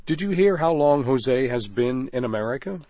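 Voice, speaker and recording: Male teacher-host: clear, patient, lively in pitch. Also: moderate pace, dry recording. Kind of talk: scripted radio language lesson.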